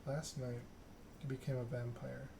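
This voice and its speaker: Condescending vampire patroness, read by a man, formal game dialogue, even delivery, noisy background. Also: mid-range voice, normal speed, gravelly, natural voice. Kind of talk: ASMR reading of game dialogue.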